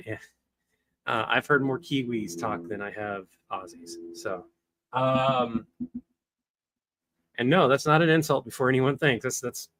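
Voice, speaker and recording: A man's voice: medium pitch, bright, forceful tone, no room sound. A young man hosting a casual livestream, rambling to his chat with lots of fillers, from a small wood-paneled room on a desk webcam. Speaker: livestream host. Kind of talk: casual live-stream chat.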